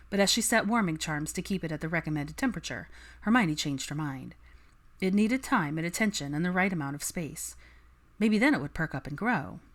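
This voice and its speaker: A woman reading fiction aloud as an audiobook narrator, in scripted storytelling style. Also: low voice.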